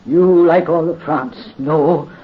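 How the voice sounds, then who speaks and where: An actor playing a dying French count consumed by vengeance, weak yet commanding. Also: male voice, fairly high pitch, takes it slowly, breathy edge. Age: 50s. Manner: weak but commanding, imperious. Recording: dull, faintly hissy 1930s radio recording.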